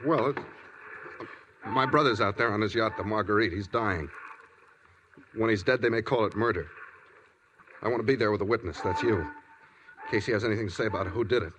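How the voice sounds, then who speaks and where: Male actor as troubled suspect, lowish voice, blunt and urgent, forties radio drama, clearly noisy recording. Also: a little fast, pauses often, natural voice. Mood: tense, troubled. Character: tense, guilt-ridden man suspected of murder. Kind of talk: 1940s radio drama acting.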